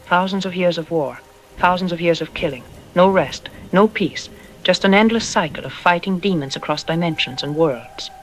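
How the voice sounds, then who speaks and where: Man playing a high-pitched, grave expert doctor. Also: a little hiss or hum, lightly acted, poor audio. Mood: solemn. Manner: deliberate, ominous, with dramatic pauses. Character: grave, troubled archaeology expert doctor on the news. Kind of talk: apocalyptic audio drama news interview.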